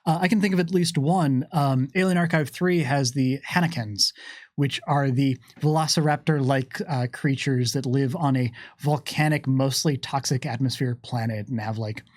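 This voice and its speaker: A man's voice: mid-range voice, moderate pace, no room sound. Articulate man chatting, dry close sound. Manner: wordy, academic vocabulary mixed with casual slang. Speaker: game developer answering fan questions on a stream. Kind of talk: casual unscripted Q&A talk.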